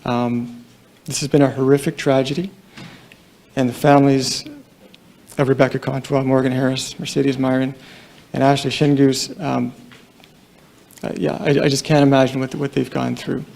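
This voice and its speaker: A soft-spoken man with a low, mellow tone and a gravelly edge addresses a crowded press conference. He talks in a flat, halting way, pausing often and leaning on fillers. The room is large. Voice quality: quiet voice. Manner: halting, somber, many fillers. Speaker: police deputy chief.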